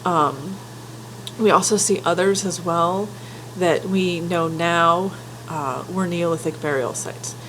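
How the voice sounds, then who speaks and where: A woman with a stuffy head cold giving an informal spoken lecture, careful and candid, often pausing with ums and restarting her sentences. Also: fairly low pitch, a bit bright, slight background noise, low-quality recording. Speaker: folklore writer and teacher.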